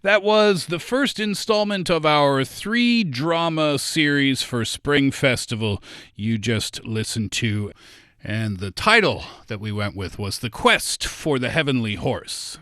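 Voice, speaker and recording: A man hosts a casual studio chat with a very lively, swooping delivery. His voice is bright and forward, recorded dry and close, and his manner is playful. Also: mid-range voice, takes it slowly, no room sound. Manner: playful, conversational. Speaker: podcast host. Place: podcast studio.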